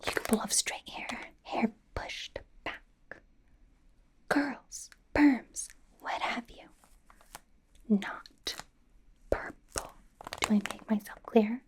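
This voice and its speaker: A woman in an ASMR roleplay playing a doting, bossy young pop idol turned fashion stylist, in a soft breathy whisper with a playful, halting delivery. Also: a little high. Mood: playfully stern. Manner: playful, gushing, gently insistent, halting. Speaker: ASMR performer. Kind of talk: ASMR roleplay, personal attention.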